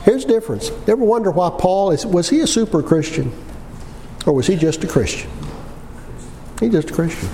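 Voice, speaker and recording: A man's voice: high-pitched, lively intonation, brisk, pauses often, dark tone. A man in his sixties preaching a sermon in a folksy, conversational way, stressing points through repetition and rhetorical questions. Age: 60s. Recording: long hall reverb with background noise.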